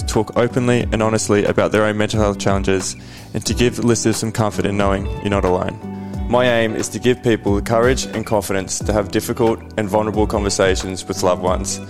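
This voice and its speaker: A man hosting a podcast interview, earnest and encouraging, with short affirming replies. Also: lowish voice. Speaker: podcast host.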